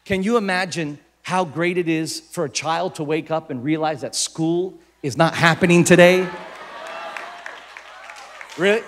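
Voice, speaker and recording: Middle-aged guy preaching, american accent, jokey and animated, kinda high voice. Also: measured pace, some chatter behind, slight background noise. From Philippines. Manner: animated, jokey, bursts into shouts. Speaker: pastor. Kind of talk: sermon with comic storytelling.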